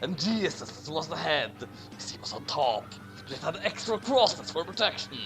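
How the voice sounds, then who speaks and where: A man giving a halting, theatrical comedic reading of a story as co-reader. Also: on the high side, very animated. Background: music.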